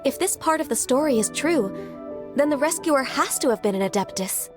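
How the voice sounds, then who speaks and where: An actress playing a gentle, shy young woman in a video game, soft-spoken, earnest and a little hesitant. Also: high-pitched, lively intonation, normal speed. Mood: thoughtful. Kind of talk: video game character acting. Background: music.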